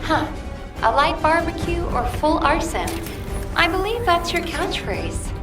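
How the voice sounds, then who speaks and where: Distant roomy sound, loud music and gunfire, high female voice, dry composed game operator. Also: sing-song, unhurried, full character voice. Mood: dry teasing. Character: composed, factual female mission navigator with dry wit. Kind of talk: video game voice acting.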